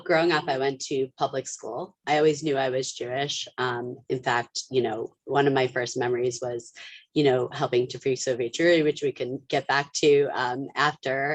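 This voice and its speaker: A woman's voice: a bass voice, no echo. A woman interview guest telling personal anecdotes in an informal, conversational way.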